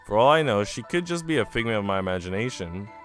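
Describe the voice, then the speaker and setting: A man reading the lines of a calm, supportive woman from a visual novel in a measured manner. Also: lowish voice, faint music behind. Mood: calm, questioning. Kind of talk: visual novel dialogue read aloud.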